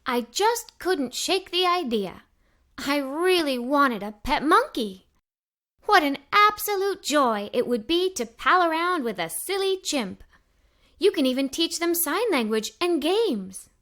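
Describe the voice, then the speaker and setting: A woman with a high, bright voice narrates a storybook for children. She speaks in the role of an eager young girl, with lively swings in pitch and plenty of enthusiasm. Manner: animated, enthusiastic. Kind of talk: expressive children's read-aloud, narrating in character as an adventurous young girl.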